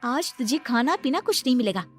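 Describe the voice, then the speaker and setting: A woman voicing a bitter, sharp-tongued young stepmother in a cartoon story, scolding and scornful. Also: sits high, very animated, a little fast, faint music behind, pitched above the performer's own voice. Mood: angry scolding.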